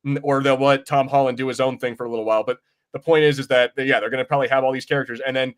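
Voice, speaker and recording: A man with a mid-range voice talks casually as a podcast co-host. His delivery is halting and rambling, with phrases repeated while he works out the thought. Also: mid-range voice, fairly even intonation, clean tone. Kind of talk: casual film and comics discussion.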